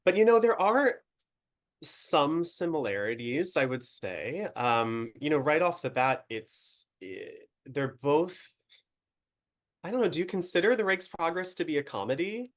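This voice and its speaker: A man's voice: on the high side, animated, a little slow. A man hosting an online opera lecture, friendly and a little hesitant, often starting with "um" and "you know". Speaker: opera company producer and interviewer.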